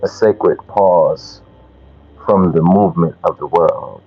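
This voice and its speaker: Very slow, deep-voiced male meditation guide. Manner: measured, soothing delivery with long pauses between short phrases. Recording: dull lo-fi sound, music underneath. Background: meditation music.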